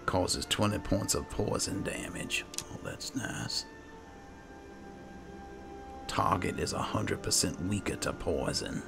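A man with an extremely deep voice full of heavy fry hosts a game playthrough. He mutters to himself and reads item text without hurry, and game music plays clearly behind him. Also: sing-song, slow pace, long pauses. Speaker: gaming video host. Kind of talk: roleplay game playthrough commentary, reading item text aloud. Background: video game music.

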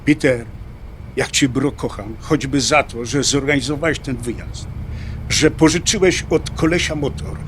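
Actor as blunt middle-aged biker, traffic noise behind. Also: male voice, mid-range voice, normal speed, fairly bright tone, close to an everyday voice. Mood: earnest, afraid. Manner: colloquial, blunt. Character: anxious middle-aged atheist motorcyclist, blunt and joking. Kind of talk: dialogue acting in an audio drama.

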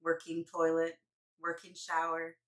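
Slow-talking animated woman, children's story host. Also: deep voice. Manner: animated, exaggerated, sing-song talk to small children. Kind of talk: read-aloud for young children. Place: small indoor room with painted mural.

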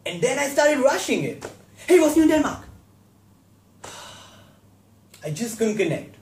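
A man performing a theatrical monologue as an anxious, self-deprecating young stage actor, confessional and halting with bursts of intensity. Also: very high voice, big pitch swings, pitched above the performer's own voice, heavily acted. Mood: agitated, embarrassed. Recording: echoey room.

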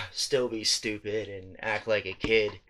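Thirty-something guy vlogging late at night, slow halting ramble, lots of ums, swears casually, lowish voice. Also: fairly even intonation, bright, forceful tone, slight background noise. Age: 30s. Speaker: YouTuber. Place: small indoor room, late at night. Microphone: webcam or handheld phone at close range.

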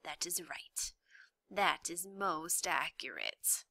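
A woman's voice: lowish voice, lively intonation, no room sound, exaggerated voice. A woman voicing a sly whispering rat. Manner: slow, hushed, whispery. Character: sly, soft-spoken male dungeon rat.

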